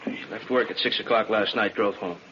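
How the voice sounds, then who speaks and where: A low-voiced male police captain in a vintage radio drama. He lays out facts in clipped, no-nonsense phrases. The recording is dull and faintly noisy. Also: fairly even intonation, fairly quick, pushed and bright. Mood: grim, factual. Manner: clipped, factual.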